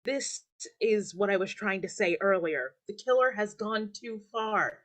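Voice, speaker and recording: An actress playing an outspoken, impatient forest animal in a staged reading, blunt and urgent. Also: female voice, medium pitch, a little slow, a bit bright, close to an everyday voice. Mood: insistent.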